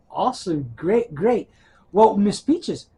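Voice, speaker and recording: A man's voice: high-pitched, lively intonation, takes it slowly, dark tone, a little hiss or hum. A man working as an archivist, conducting an oral history interview in a friendly, encouraging way. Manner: friendly, encouraging, with many "you know"s.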